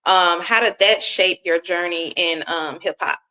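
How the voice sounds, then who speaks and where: A woman interviewer with a lowish but bright, forward voice. She asks her questions in a loose, chatty way, packed with fillers and friendly asides. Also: measured pace, low-quality recording. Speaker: interviewer host. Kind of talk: casual interview.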